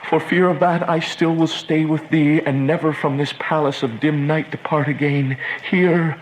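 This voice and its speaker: An actor playing a passionate, despairing young lover in a Shakespearean radio drama, lamenting in a declamatory way. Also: male voice, on the high side, fairly even intonation, normal speed, a little hiss or hum, close to an everyday voice, poor audio. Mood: anguished adoration.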